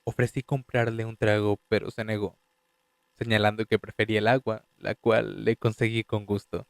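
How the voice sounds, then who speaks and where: A man's voice: lowish voice, level tone, normal speed, gravelly, dry recording. A man voicing a young male designer who gives a confessional, slightly defensive first-person statement in a fan dub audio drama. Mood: uneasy. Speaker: voice actor reading a statement.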